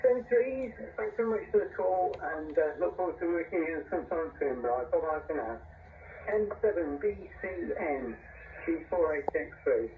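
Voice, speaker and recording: A man with a slightly high voice talks haltingly over amateur radio, as if working out each phrase. The signal is thin and narrow, distant, with some hiss behind it. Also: animated. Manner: hesitant, halting delivery. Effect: heard over shortwave radio. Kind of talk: unscripted two-way radio chat.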